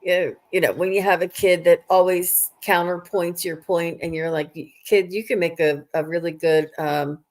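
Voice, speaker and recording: A woman hosting a live stream, chatting casually and giving readings to viewers, often halting and repeating phrases as she thinks aloud. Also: on the low side, fairly even intonation, a little mellow.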